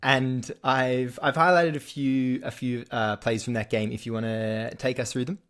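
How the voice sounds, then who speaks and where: A man's voice: medium pitch, normal speed. Chatty young Australian man hosting. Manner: relaxed, chatty, with frequent fillers and restarts. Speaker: podcast host. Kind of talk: casual sports interview.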